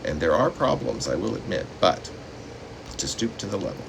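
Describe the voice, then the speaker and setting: Male poet, very deep register, reads in measured dramatic phrases, faint room noise behind him. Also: gravelly. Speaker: poet reading own work. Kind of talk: poetry reading with spoken asides. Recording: steady room noise, air conditioning hum.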